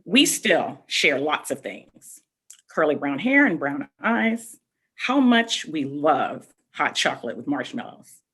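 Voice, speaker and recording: A woman reading a picture book aloud to children, expressively, pausing to explain things directly to her young listeners. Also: sits low, sing-song, a bit bright, husky. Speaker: read-aloud storyteller.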